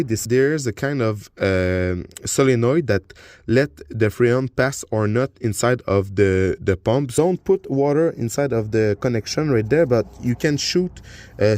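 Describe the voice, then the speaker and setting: A man with a French accent talks through a car repair while he works. His voice sits at an ordinary middle pitch, and he stops often on uh and repeated words. It sounds like a phone recording made in a garage and under the car. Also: a little mellow, dry recording. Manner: halting explanations with frequent uh and repeated words. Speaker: DIY car repair vlogger. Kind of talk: casual hands-on repair walkthrough. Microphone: phone camera while working.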